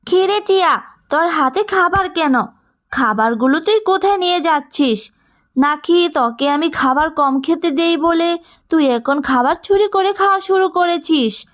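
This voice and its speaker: A woman's voice: very high-pitched, lively intonation, unhurried, fairly bright tone, full character voice. A woman doing a sneering cruel aunt. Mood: scolding. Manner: harsh, sneering. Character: cruel, scolding aunt who starves her niece.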